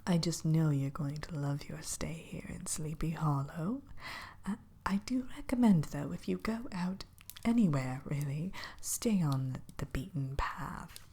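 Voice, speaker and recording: A woman's voice: deep voice, expressive, a little slow, dark tone, heavily acted. A woman playing a kindly, spooky witch innkeeper in a whispered ASMR roleplay, musing hesitantly with coy mischief as she tends to a guest. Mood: warm, cautioning. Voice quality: breathy, whispery.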